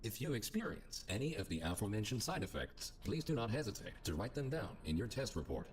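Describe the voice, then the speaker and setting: A formal machine announcer from a video game, addressing test subjects with deadpan menace. The delivery swings dramatically in pitch while staying polite and clinical. Faint noise and typing sit behind it. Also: male voice, fast. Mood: deadpan warning. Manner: formal, cheerfully deadpan about danger. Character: artificial announcer of a testing facility, politely menacing.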